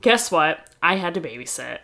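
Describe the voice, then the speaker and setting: A woman's voice: low-pitched, big pitch swings, moderate pace, vocal fry, over a noisy crowd. A woman hosting a podcast in a playful, giggly casual chat. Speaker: podcast host.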